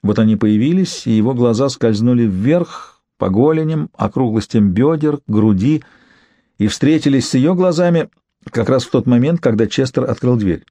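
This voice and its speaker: Male audiobook narrator for literary fiction: mid-pitched, mellow tone, close dry sound. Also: lively intonation, normal speed, no echo.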